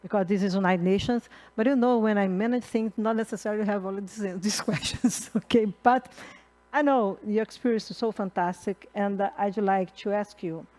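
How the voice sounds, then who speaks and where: A middle-aged woman from Brazil speaks with a Brazilian accent, moderating a conference panel. Her English is halting, with many fillers and restarts. She talks into a handheld mic in a conference hall. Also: medium pitch, fairly even intonation, a little mellow. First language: Portuguese.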